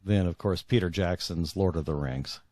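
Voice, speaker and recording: Male, 60s, deep voice, dry sound. Thoughtful author guest, conversational, searches for words as he goes. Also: a little mellow, no echo. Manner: earnest, halting, repeats words as he searches for phrasing. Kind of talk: reflective conversational interview.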